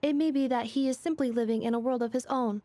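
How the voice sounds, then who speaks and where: Woman as game android analyst, formal, clinical, precise, higher-pitched. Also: fast talker, noisy background, close to an everyday voice. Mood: clinical, warning. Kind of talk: anime game voice acting.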